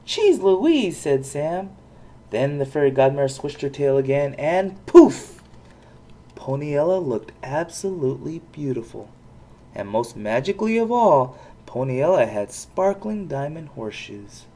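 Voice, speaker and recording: A parent reading a children's storybook aloud with expressive narration. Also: mid-range voice, a little slow.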